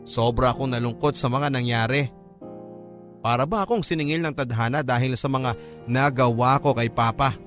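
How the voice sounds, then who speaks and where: A man's voice: mid-range voice, normal speed, a bit bright, faint music behind, natural voice. A man with a Filipino accent narrating a radio drama as a remorseful grown child telling a family story. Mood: regretful. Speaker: story narrator reading a letter.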